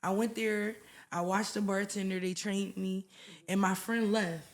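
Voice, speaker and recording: A young woman from West Philadelphia with a Philly accent, a podcast guest telling her story in a casual, animated way, often saying "like". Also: mid-range voice, measured pace. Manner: animated, acts out voices in her stories, lots of "like".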